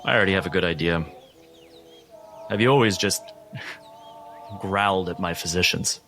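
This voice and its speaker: A man performing a probing male doctor in a tabletop horror game. His manner is falsely friendly and condescending, with music clearly behind him. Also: sits low, normal speed, sharp tone. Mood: probing politeness. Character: smooth-talking, probing male asylum doctor. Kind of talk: tabletop roleplay acting.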